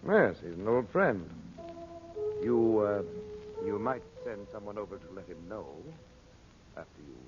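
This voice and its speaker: An actor playing a cool, defiant captive magician in a 1940s radio serial, measured, wry and taunting. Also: male voice, on the low side, sing-song. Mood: wry, calm. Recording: muffled old radio recording, music behind.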